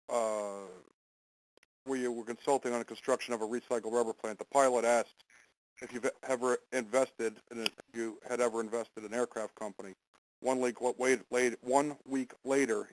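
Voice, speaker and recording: Halting male conference call host. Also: medium pitch, steady tone, low-quality recording. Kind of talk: semi-formal question-and-answer hosting.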